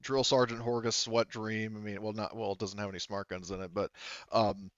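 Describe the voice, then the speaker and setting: Guy game mastering an rpg, lowish smooth voice, kinda rambly, bit muffled. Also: flat delivery, fairly quick, fairly bright tone, no echo.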